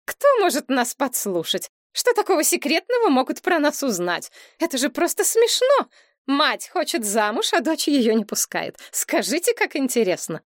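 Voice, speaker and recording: A woman voicing an offended, mocking middle-aged mother who speaks in a sharp, raised voice. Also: sits high, huge pitch swings, normal speed, no echo, studio quality, pitched above the performer's own voice.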